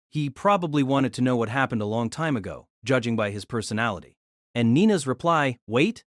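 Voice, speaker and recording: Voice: mid-range voice, dry recording, clean studio sound. A narrator reading scripted third-person story narration for an audio drama.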